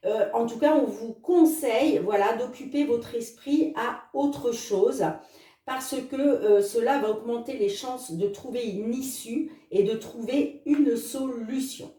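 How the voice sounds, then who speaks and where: A woman's voice: on the high side, dark tone, reverberant, far from the mic. A woman reading oracle cards for her viewers in a casual, chatty way, with many filler words. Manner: chatty, fills with "hein" and "les amis"; reads card messages slowly. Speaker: tarot card reader.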